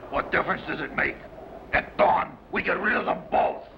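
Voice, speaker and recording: A man's voice: high voice, normal speed, gravelly. A man playing a bitter, superstitious, mutinous sailor with sneering, ominous, melodramatic old-time radio acting. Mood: scornful, plotting. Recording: dull, noisy vintage radio recording.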